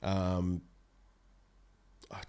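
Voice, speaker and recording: A man's voice: low-pitched, completely flat delivery, very slow, dark tone, smooth. A man in his thirties chatting casually as an interview guest, rambling and interrupting himself. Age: 30s. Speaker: voice actor being interviewed. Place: small room studio, indoors. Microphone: close podcast microphone.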